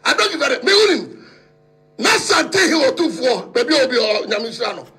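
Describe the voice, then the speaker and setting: A middle-aged man with a Ghanaian accent on a heated, repetitive, shouting rant in a radio studio. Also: very high voice, sing-song, brisk. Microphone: studio microphone with pop filter.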